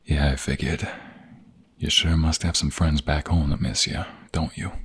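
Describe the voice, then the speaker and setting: A man playing a tender, protective young mobster in an intimate ASMR romance roleplay, speaking softly and haltingly in a husky, gravelly, breathy voice. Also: a bass voice, steady tone, heavily acted. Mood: gentle, concerned. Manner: soft, halting, gentle, intimate.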